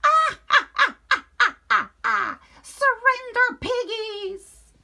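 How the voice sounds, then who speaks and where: A woman's voice: high-pitched, very animated, slow, a bit bright, pitched above the performer's own voice, heavily acted. A woman voicing a greedy, impatient old witch who screeches and cackles. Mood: cackling.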